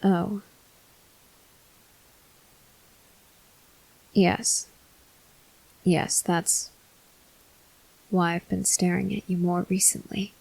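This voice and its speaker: A woman playing a brooding, guilt-ridden male vampire officer in an ASMR roleplay, whispering breathily in slow, halting, formal phrases. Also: fairly low pitch, little pitch movement, creaky, a little mellow, slightly rough, heavily acted, low-quality recording. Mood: tormented, hungry.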